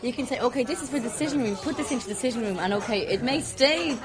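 A woman's voice: a little high, rapid-fire. A chatty Irish woman in her thirties from Dublin, telling stories animatedly and laughing often. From Ireland, Dublin. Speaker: wife moving house. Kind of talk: casual chat.

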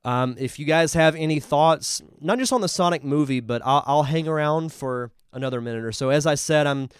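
A man's voice: a little high, brisk, clean tone, dry recording, clean studio sound. A man with a congested voice hosting a live podcast, chatting casually and enthusiastically as he reviews a film. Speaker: podcast host.

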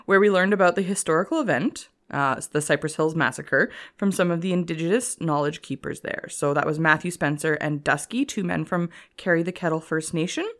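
A woman's voice: low voice, fairly quick, hardly any pauses, fairly bright tone. A young Canadian woman with a Canadian accent, co-hosting a podcast in casual, teasing chat and laughing often. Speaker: podcast co-host.

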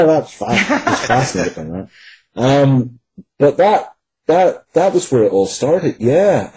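Guy in a casual interview, really raspy n mellow low voice, bit halting. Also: rough recording. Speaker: writer, interview guest.

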